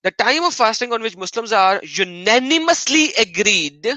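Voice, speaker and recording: A man's voice: sits high, animated, moderate pace, sharp tone, no room sound. A man hosting an argumentative live stream on religion, often mocking and repeating phrases for emphasis.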